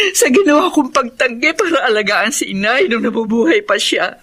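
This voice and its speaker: An actress playing a guilt-ridden eldest sister in a Filipino radio drama, confessing with measured emotion and a Filipino accent. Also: female voice, on the high side, a bit bright. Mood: guilty, remorseful.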